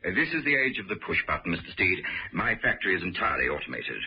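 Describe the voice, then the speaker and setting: A man's voice: sits low, sing-song, quick pace, creaky, heavily acted. A man playing a precise, coolly superior British scientist devoted to machines, speaking formally and pedantically in a vintage radio drama. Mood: curtly polite. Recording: dull old radio recording, noisy.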